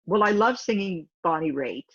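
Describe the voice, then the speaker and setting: Woman in her fifties with a deep, husky voice. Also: expressive, moderate pace, dry recording, lo-fi sound. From Canada. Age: 50s. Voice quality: low, deep voice. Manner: rambling, talkative, frequent restarts. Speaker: singer, interview guest. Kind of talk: casual interview chat.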